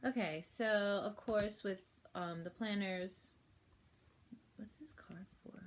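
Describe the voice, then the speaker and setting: A woman with a cold, sniffly, chatting casually through a planner unboxing at home while filming on her phone, rambling with fillers and soft laughs. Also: medium pitch, slow pace, slight background noise. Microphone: phone held while filming.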